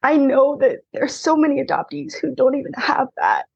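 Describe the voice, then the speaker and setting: A woman's voice: high voice, huge pitch swings, normal speed, vocal fry, no room sound, lo-fi sound. A woman in her thirties, an author from Taiwan whose first language was Mandarin, speaking earnestly and candidly in an interview, close to tears. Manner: earnest, candid, frequent "like" fillers. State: tearful, close to crying. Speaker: guest, adoptee author. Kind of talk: emotional interview conversation.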